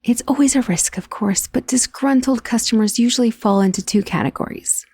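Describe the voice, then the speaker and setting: Casting: female performer as noble vampire mistress for ASMR roleplay, mellow with gravelly fry, soft, genteel and protective, close-miked. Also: fairly high pitch, brisk, no room sound. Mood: indignant, brooding. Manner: formal, refined, genteel wording. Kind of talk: ASMR roleplay, intimate dramatic monologue. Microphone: close, dry studio microphone.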